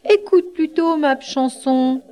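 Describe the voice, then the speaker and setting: High small voice, cheeky runaway galette acted by a woman, singsong, teasing, storybook tale. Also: slow, dark tone. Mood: proud, singing. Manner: lilting, teasing. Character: proud, cheeky little runaway galette, singing its song.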